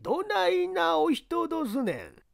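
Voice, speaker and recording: A reader voicing an elderly inn woman with a Kyoto accent, polite but evasive, in drawn-out singsong replies for an audiobook. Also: very high voice, wildly swooping pitch, vocal fry, voice pushed up, exaggerated voice. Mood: polite, apologetic. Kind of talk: audiobook character acting.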